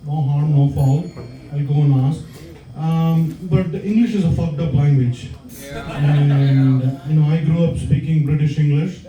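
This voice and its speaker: South Indian man, dark mellow stand-up voice. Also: on the high side, fairly even intonation, a little slow, highs cut off. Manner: hesitant, filler-heavy storytelling with many ums. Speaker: stand-up comedian. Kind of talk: stand-up set. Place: comedy club stage. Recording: roomy club sound from far off.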